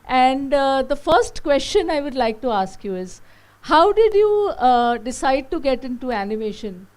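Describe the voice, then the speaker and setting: A woman's voice: sits high, very animated, measured pace, a little mellow. A woman moderating a festival session, introducing a guest speaker in a formal way with frequent fillers.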